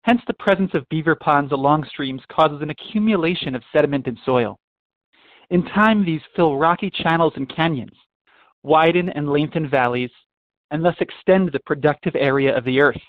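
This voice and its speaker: Higher-voiced man, phone-like sound. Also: normal speed, dry recording. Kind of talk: reading nature book aloud. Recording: dry, phone-like narrow sound.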